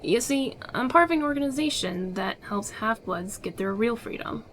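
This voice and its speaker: A woman's voice: on the high side, animated, moderate pace, fairly bright tone, slight background noise. A woman playing a confident, secretive smuggler in a fantasy ASMR roleplay, with an assured, persuasive, theatrical delivery. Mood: sly, assured. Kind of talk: ASMR audio roleplay acting.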